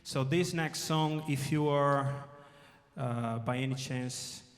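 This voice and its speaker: Soft-spoken man on stage. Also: mid-range voice. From Brazil. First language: Portuguese. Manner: soft-spoken, hesitant, rushed asides. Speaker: singer-songwriter. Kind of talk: stage talk between songs. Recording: reverberant live hall with music.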